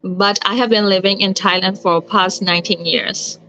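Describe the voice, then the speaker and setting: A woman's voice: medium pitch, steady tone, normal speed, pushed and bright, slight background noise. A woman, chatting as an interview guest and searching for words with many fillers. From Myanmar. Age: 30s. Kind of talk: casual interview chat. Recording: dull, slightly lo-fi sound.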